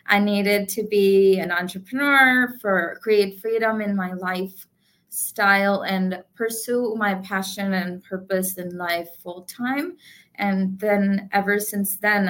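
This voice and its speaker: A woman's voice: medium pitch, a bit bright. A woman from India joins an interview podcast as a guest and talks about her work as a business coach. She speaks earnestly and positively, with frequent ums as she gathers her thoughts. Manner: earnest, positive, many fillers. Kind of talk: casual interview.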